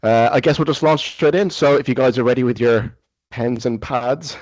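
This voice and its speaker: A man's voice: mid-range voice, steady tone, darkish tone, no room sound, dull audio. Man hosting a football quiz podcast. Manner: measured, reads questions slowly, frequent ums and uhs. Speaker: quiz host.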